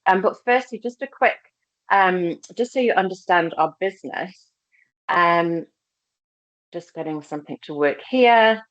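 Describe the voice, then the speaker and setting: A woman's voice: lowish voice, measured pace, dry recording. A woman with a slight English accent, hosting a recruitment webinar in a chatty, friendly way with many ums, speaking from a laptop on a video call. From England. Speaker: recruitment team head, webinar host. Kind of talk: casual webinar presentation. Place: holiday house by the beach. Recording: dry, slightly dull video-call audio.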